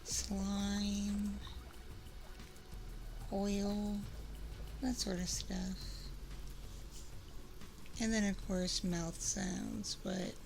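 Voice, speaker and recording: A woman chatting casually in a soft, confiding ASMR livestream style, close on a binaural microphone. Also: mid-range voice, drawn-out words, vocal fry, long pauses, a little hiss or hum.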